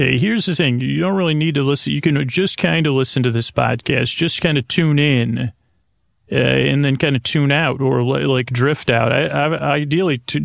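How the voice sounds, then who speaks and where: Creaky-voiced man rambling soothingly. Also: mid-range voice, no room sound, clean studio sound. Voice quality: creaky, gravelly. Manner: meandering, halting, hesitant, tangent-prone; soothing, lulling. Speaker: podcast host. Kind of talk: rambling bedtime sleep podcast monologue.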